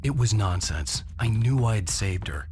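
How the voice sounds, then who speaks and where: An actor playing a weary but determined writer in a video game, speaking in a plain, wry, terse way. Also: male voice, on the low side, animated, moderate pace, noisy background, lightly acted. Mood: determined, reflective. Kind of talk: video game narration and dialogue. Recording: music behind the voice.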